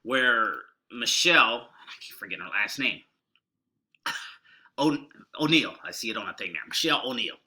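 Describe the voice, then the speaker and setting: Middle-aged guy with a bright ringing voice, rambling, folksy, keeping it quiet. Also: mid-range voice, animated. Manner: rambling, folksy and deliberately subdued. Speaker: online marketing video host. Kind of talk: casual screen-share walkthrough.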